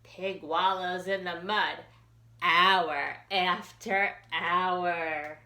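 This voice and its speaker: A woman children's librarian reading a picture book aloud for young children in an expressive, sing-song questioning way. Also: lowish voice, drawn-out words, echoey room. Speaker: children's librarian hosting storytime.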